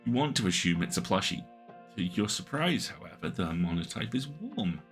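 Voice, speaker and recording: A man reading interactive fiction aloud on a stream, with measured, atmospheric narration. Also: fairly low pitch, very animated, soft background music. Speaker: game narrator.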